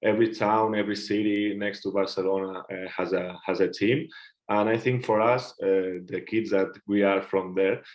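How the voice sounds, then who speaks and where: Halting Spanish-accented man, low voice. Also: steady tone. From Catalonia, Spain. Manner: halting, searches for words. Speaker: guest, futsal player. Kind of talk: casual interview answers.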